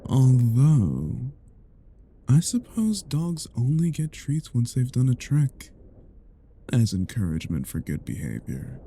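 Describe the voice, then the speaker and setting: A man's voice: on the low side, vocal fry, mellow, a little hiss or hum, heavily acted. A man playing a cruel, domineering vampire in a roleplay audio drama, speaking in a menacing, condescending manner with formal, archaic phrasing. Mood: condescending, commanding. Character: cruel, domineering male vampire toying with captive werewolf.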